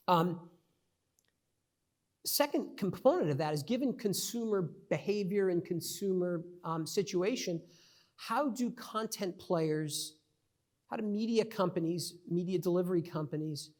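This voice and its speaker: A middle-aged man with a New York accent, giving a conversational conference presentation with many fillers and restarts. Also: sits high. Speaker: conference speaker.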